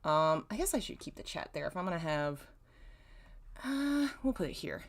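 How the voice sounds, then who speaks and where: A woman's voice: fairly low pitch, sing-song, normal speed. A woman hosting a casual livestream, talking in a rambling way and often interrupting herself. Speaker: livestream host. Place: indoors in a dim room.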